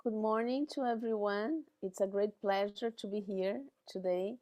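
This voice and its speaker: A woman from northeast Brazil, introducing herself on a video call in a measured way, pausing often on fillers. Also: medium pitch, steady tone, darkish tone, lo-fi sound. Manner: measured, with frequent pauses and fillers. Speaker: researcher and trainee.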